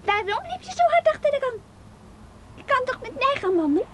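Childlike, jealous little girl, extremely high voice, radio drama, faint noise. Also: very animated, brisk. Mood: accusing. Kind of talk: radio play acting.

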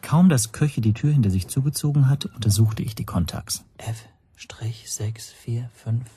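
A man's voice: mid-range voice, normal speed, warm tone, breathy edge. An actor playing the first-person narrator of a radio drama, recounting his predicament in a subdued, introspective way. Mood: subdued, tense.

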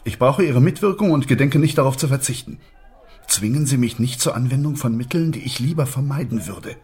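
An actor playing an imperious, menacing boss in a German radio drama, speaking with formal, suave command. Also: male voice, medium pitch, animated, a little fast, a little mellow, husky. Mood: cold, threatening. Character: imperious, menacing male boss of shady dealings.

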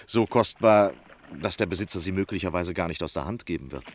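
An actor playing an earnest, cunning literary critic with dramatically weighted phrasing in a 1960s German radio drama. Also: male voice, low voice, expressive, brisk. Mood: fervent, secretive. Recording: faintly noisy 1960 radio recording.

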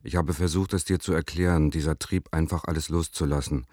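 A man's voice: a bass voice, steady tone, fairly quick, darkish tone, no echo, clean studio sound. An actor playing a wistful lover who reads his letter to an absent girlfriend in a radio drama, tender, brooding and plain-spoken. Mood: melancholy, brooding. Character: Leon, wistful lover writing to departed girlfriend.

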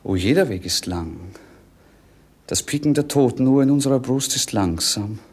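A man's voice: medium pitch, animated, a little mellow, breathy edge. An actor playing a dreamy, melancholic young nobleman in love, speaking lyrically in a poetic radio drama. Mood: weary, brooding. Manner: lyrical, brooding, measured.

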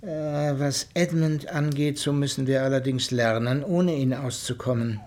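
An actor playing a dignified, middle-aged gentleman father in a radio play, speaking in a formal, measured, authoritative way. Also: medium pitch, lightly acted. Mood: composed, benevolent. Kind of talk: German radio play acting.

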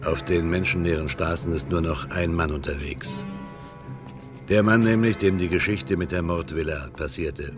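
A male actor as the narrator of a spooky radio comedy mystery. His low voice tells the scripted story atmospherically over plucked guitar music. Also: moderate pace, natural voice. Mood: atmospheric, suspenseful.

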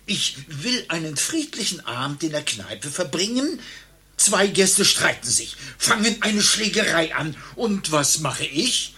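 An actor playing a touchy, grandiose former referee in a satirical radio play, declaiming theatrically. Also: male voice, on the high side, big pitch swings, measured pace, sharp tone, slightly rough, heavily acted. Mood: confessional, dramatic.

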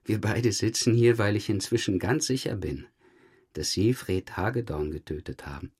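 An actor playing a dogged police detective questioning a suspect in a German crime audio drama, matter-of-fact and dryly pointed. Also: male voice, fairly low pitch, normal speed, a little mellow, slightly rough. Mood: controlled, pointed. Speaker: police investigator.

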